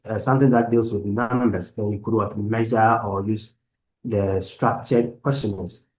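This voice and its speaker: Man, university lecturer, online class, laptop mic, halting delivery, lowish voice. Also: dark tone, low-quality recording. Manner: halting, restarts and fillers while explaining. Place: at a laptop on a video call. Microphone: laptop microphone.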